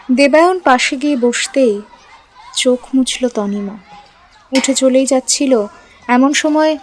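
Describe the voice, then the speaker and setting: A woman's voice: high-pitched, darkish tone. A woman reading scripted narration for an audio love story. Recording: music bed behind the voice.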